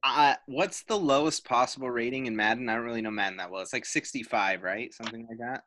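A man hosting a casual sports podcast, self-mocking and awkward, talking fast in bursts. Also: medium pitch, pushed and bright, no room sound. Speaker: podcast host. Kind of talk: casual chat.

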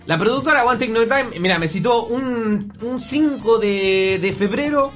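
A man's voice: very high voice, unhurried, fairly bright tone, faint music behind, voice pushed up. A man playing a vain singer from Lanús who puts on an Italian accent and rambles pompously about his neighborhood. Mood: pompous rambling. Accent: put-on Italian over Argentine Spanish. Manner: rambling, pompous, stumbling over words. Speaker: guest musician character.

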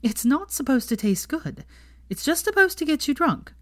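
A woman's voice: high-pitched, very animated, normal speed, fairly bright tone, no echo, pitched above the performer's own voice. A woman voicing an earnest, feisty, know-it-all young witch in a story reading, emphatic and matter-of-fact. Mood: exasperated.

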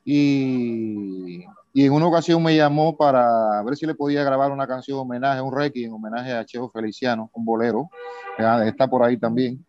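A man from eastern Cuba with a Cuban Spanish accent, answering interview questions in a polite, unhurried, reflective way. His voice sits at a middle pitch. He comes through a dull, dry remote connection with no room sound. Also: darkish tone, no echo. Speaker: singer, guest. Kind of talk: casual interview.

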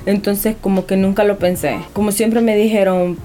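A woman's voice: mid-range voice, fairly even intonation, normal speed, dark tone, soft background music. A young woman, speaking earnestly as an interview guest and often restarting her sentences. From Honduras. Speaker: anonymous guest. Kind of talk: personal interview.

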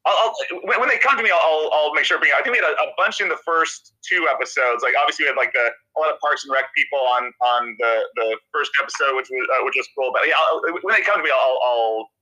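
A man in his thirties with a nasal, very bright voice sitting slightly high. He talks casually as a podcast guest, stumbling through fillers and restarting sentences. The sound has a radio-like quality. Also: low-quality recording. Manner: halting, many restarts and fillers. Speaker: TV comedy writer, guest. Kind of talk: casual interview chat.

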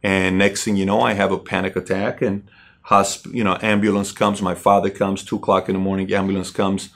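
A middle-aged man from Iran, a company CEO, telling his personal story in a halting way with many fillers and restarts. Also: sits low, flat delivery, vocal fry.

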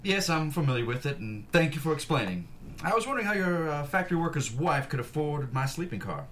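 A pompous, imperious railroad tycoon, played by a man at a table read, talking quickly with lively swings in pitch over clear background noise. He is condescending and rather high-voiced. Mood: amused, condescending. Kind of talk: actor at a script table read.

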